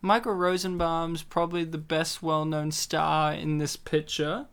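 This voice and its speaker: A man's voice: a little high, level tone, unhurried, a bit bright, clean tone. Guy cohosting a movie podcast, rambly and jokey, just chatting. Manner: jokey, rambling, slips into silly impressions. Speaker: podcast co-host. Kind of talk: casual film commentary chat.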